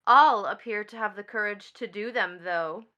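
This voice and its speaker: An actress playing a bitter, proud young stepdaughter in a stage play, with an emphatic, mocking, dramatic delivery. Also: female voice, mid-range voice, moderate pace, bright, forceful tone, highs cut off, close to an everyday voice. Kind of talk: theatrical stage play acting.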